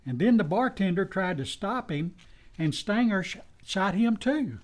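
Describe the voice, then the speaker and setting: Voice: mid-range voice, animated, a little slow, close to an everyday voice. A performer with an American accent playing an earnest, folksy western sidekick in old-time radio style, pleading urgently in rustic dialect. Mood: urgent, grave.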